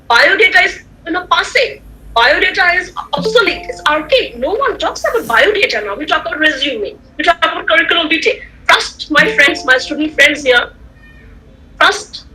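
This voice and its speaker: A woman whose first language is Tamil, giving an animated online lecture to students, repeating points and checking that they follow. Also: high voice, slight background noise, rough recording. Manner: animated, repetitive, rhetorical questions to listeners. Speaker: guest lecturer. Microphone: computer mic on a video call.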